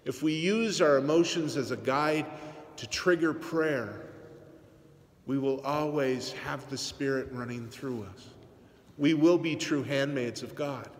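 A man in his forties, a priest, giving an earnest, measured homily to his congregation in a large church. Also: medium pitch. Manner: earnest, measured preaching with teasing asides. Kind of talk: sermon. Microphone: phone camera on the pulpit, aimed up. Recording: long church reverb.

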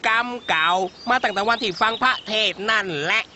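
A man's voice: high voice, brisk, bright, forceful tone, a little hiss or hum. A man voicing an exasperated, scolding father with a Chinese accent in his Thai, in a children's cartoon. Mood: exasperated complaint. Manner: fretful, scolding, bewildered. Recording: muffled audio with music behind.

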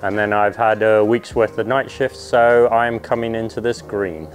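A man with a lowish voice gives candid, matter-of-fact interview answers. A lapel mic picks him up indoors. Faint music plays behind. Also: steady tone, normal speed. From Zimbabwe. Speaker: angler interviewee. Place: indoor room.

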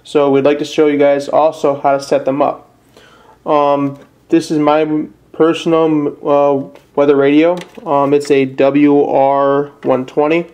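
Mellow, even-toned young Midwestern guy. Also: fairly high pitch, unhurried. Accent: American Midwestern. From northern Illinois, USA. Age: young adult. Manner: plain, informal explaining. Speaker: how-to video presenter. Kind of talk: casual hands-on tutorial. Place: indoors at a desk.

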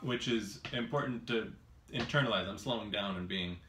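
Low-voiced man teaching xylophone in a kitchen. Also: moderate pace, over loud music. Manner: musing, thinks aloud with hesitant fillers. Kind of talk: casual demonstration lesson. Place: home kitchen, indoors. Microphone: camera across the room. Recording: roomy kitchen sound from a distance. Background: xylophone playing.

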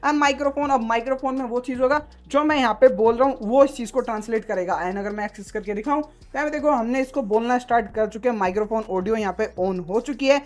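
A man's voice: very high voice, quick pace, few pauses. A man giving a casual phone tutorial as a tech YouTuber, mixing in English terms and leaning on repeated filler phrases.

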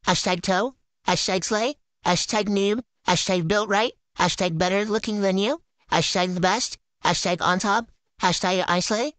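A woman's voice: on the low side, a bit bright, no room sound. Woman doing a bratty ditzy noob gymnast. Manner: valley-girl drawl, silly and boastful. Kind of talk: improvised game roleplay voiceover.

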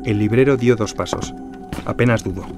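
An actor playing an observant deputy detective, narrating a noir radio drama in the first person. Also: male voice, lowish voice, normal speed, over music, close to an everyday voice. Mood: reflective.

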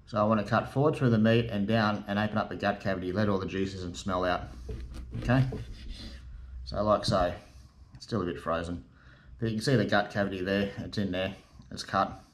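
Laid-back middle-aged Australian man, lowish voice. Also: brisk, pauses often, slight background noise. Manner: relaxed, chatty, with fillers and matey asides. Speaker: fishing channel host. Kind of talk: casual how-to demonstration. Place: garage workshop at a table, indoors. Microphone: camera in front at desk distance.

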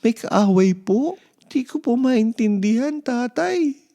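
Man acting as young boy, raised pitch, curious, worried, childlike questions, animated, storybook. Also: slow pace, gravelly, exaggerated voice. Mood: surprised, puzzled. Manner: childlike, wide-eyed questioning.

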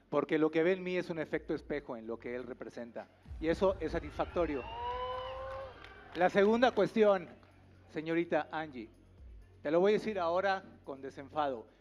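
Male academy director, middle-aged, slightly high voice, formal and deliberate, faint music underneath. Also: a little mellow. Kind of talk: solemn feedback speech. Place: seated in TV studio audience. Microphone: handheld microphone. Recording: dull sound, faint music behind.

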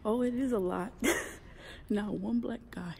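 A woman's voice: medium pitch, slow pace, creaky, mellow, a little hiss or hum. A young woman vlogging casually while touring a museum, giggling and often dropping to a whisper, recorded on a phone at arm's length. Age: young adult. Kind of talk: casual vlog chat. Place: indoor museum galleries. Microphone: phone held at arm's length.

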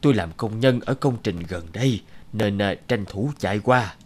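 A man voicing a calm, dignified middle-aged father in a cartoon, humble and unhurried. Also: fairly low pitch, lightly acted. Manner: measured, unhurried. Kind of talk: cartoon voice acting.

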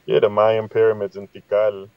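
A man's voice: on the low side, completely flat delivery, normal speed, clear, resonant tone. A middle-aged man from Guatemala telling reflective, conversational stories as a podcast guest over a video call. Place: inside a parked vehicle. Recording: slightly dull, roomy sound.

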